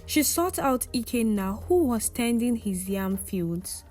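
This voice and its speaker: A woman's voice: fairly high pitch, lively intonation, slow, a little mellow, faint music behind. A woman narrating a scripted folktale as a storyteller.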